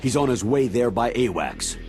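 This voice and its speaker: An actor playing a sober older military commander with an American accent, giving a grave, matter-of-fact briefing in a video game cutscene. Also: male voice, medium pitch, expressive, moderate pace, background noise, lightly acted. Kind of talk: dramatic video game acting. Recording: background music under the dialogue.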